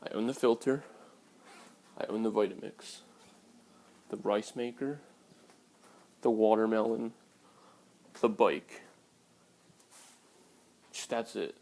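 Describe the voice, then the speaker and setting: A man's voice: medium pitch, creaky, darkish tone. A man in his early twenties talking casually and candidly to his viewers in a blunt, sweary vlog style. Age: early 20s. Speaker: vlogger.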